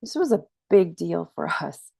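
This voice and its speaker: A woman's voice: on the low side, big pitch swings, a little slow, gravelly, darkish tone, husky, dry recording, clean studio sound. A woman with a slight cold, giving a webinar training presentation in an earnest, explanatory way. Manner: earnest, explanatory, frequent restarts. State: has a cold, slightly scratchy.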